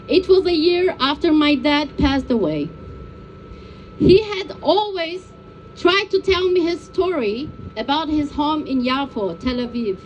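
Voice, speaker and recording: A woman's voice: high voice, highs cut off, slight background noise. A woman from Turkey, a peace activist, giving a prepared, personal public speech with earnest emotion.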